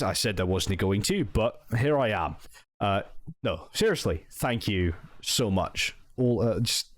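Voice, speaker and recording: A man's voice: deep voice, dry recording. A young Scottish man talking hesitantly and sincerely in a personal vlog update, full of restarts and thanks. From Scotland. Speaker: channel owner and roleplay group member.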